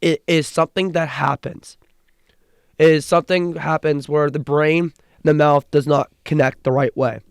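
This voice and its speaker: Young male podcast co-host with a stutter, earnest, higher pitch, smooth resonant tone, dry sound. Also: steady tone, moderate pace, no echo. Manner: earnest, with restarts and repeated words. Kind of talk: casual sports chat.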